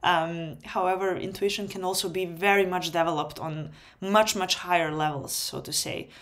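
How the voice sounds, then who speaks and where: A woman whose first language is Serbian gives an online lecture in English. Her voice sits a little low, and she speaks in an unhurried way, filling pauses with ums and restarting phrases. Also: fairly even intonation, fairly bright tone. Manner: unhurried, many ums and restarts, light laughs. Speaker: webinar host. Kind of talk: spoken lecture.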